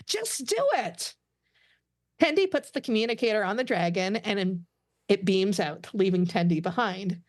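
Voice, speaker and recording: A woman's voice: medium pitch, normal speed, dry recording, studio quality. A woman reading stage directions aloud as the narrator of a scripted table read.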